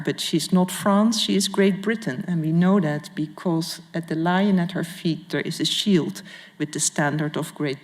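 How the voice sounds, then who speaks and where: A woman curator giving a public art history lecture in a formal, halting manner with careful phrasing. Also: low-pitched, a little mellow. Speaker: museum curator and guest lecturer. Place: large indoor lecture hall with audience. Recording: reverberant lecture hall.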